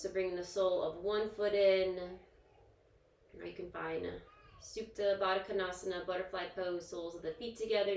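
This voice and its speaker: A woman yoga instructor leading a guided relaxation in a soothing, gentle, informal manner, leaving pauses between instructions. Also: mid-range voice. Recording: echoey, roomy sound.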